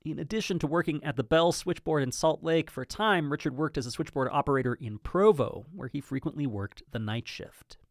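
A man hosting and narrating a podcast in a measured, slightly wry scripted style. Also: on the high side, no room sound, studio quality.

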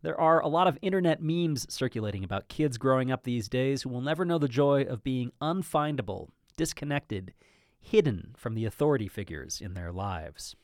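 A man hosting and narrating a podcast in a measured, slightly wry scripted style. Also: mid-range voice, expressive, a little mellow, no echo, studio quality.

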